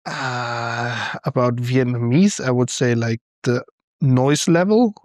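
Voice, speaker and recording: A man's voice: medium pitch, slow, a little mellow, no room sound, clean studio sound. A young German man with a German accent, co-hosting a podcast, answering questions in a thoughtful, hesitant way and laughing often. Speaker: podcast co-host. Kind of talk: casual chat.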